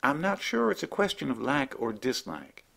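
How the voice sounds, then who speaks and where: A man's voice: mid-range voice, normal speed, creaky, heavily acted. A man playing an unflappable, obtuse and folksy rustic in an absurdist radio comedy. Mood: pedantic. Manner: folksy, deadpan wordplay. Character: obtuse, unflappable rustic country buddy, cheerfully pedantic.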